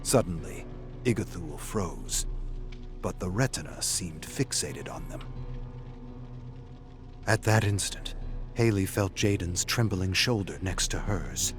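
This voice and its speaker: Low-voiced man narrating horror dramatically. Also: expressive, takes it slowly, pauses often, music in the background.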